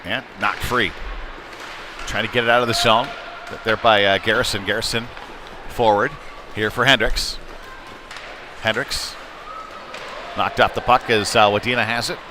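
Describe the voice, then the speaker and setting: A man's voice: on the low side, steady tone, normal speed, pauses often. A man calling live youth ice hockey play-by-play in a folksy, colloquial way. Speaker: play-by-play commentator. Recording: clear background rink noise.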